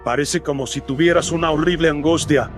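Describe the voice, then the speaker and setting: A man's voice: on the high side, fairly even intonation, a little fast, faint music behind, pitched above the performer's own voice, lightly acted. A man playing a devout, protective middle-aged father in a melodramatic radio drama, earnest and formal. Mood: alarmed, concerned.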